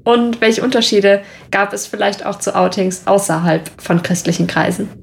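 A young woman hosts a conversation podcast in a measured, orderly way. Her mid-pitched voice carries a gravelly vocal fry. She introduces topics and guests calmly. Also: a little hiss or hum. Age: young adult. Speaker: podcast host. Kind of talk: podcast hosting, partly read from script.

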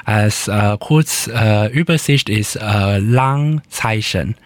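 A man from Taiwan with a Taiwanese accent, whose native languages are Hokkien and Mandarin, speaking in a radio interview with many fillers and pauses while he searches for words. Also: lowish voice, no room sound. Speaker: radio guest.

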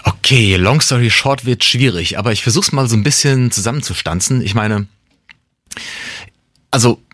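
A man's voice at a middle pitch, recorded close and dry with no room sound. He is a singer-songwriter and native German speaker, musing over his path in music. He rambles thoughtfully and restarts his sentences often. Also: moderate pace, a bit bright, no echo. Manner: reflective, rambling with restarts and laughs. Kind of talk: casual interview talk.

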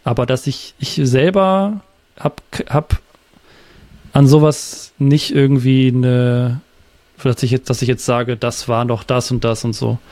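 A man's voice: medium pitch, steady tone, unhurried, dark tone, dry recording. A man talking casually as a guest, reflective and hesitant, often searching for his words. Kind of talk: casual conversation.

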